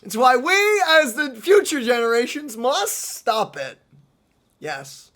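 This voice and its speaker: A let's play host giving casual, joking, expressive gameplay commentary in conversational banter. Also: mid-range voice, takes it slowly. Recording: game music behind.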